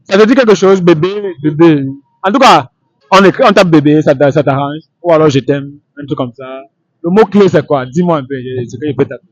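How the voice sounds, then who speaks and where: Male street interview host, higher register, halting and repetitive, dry recording. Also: no room sound, muffled recording.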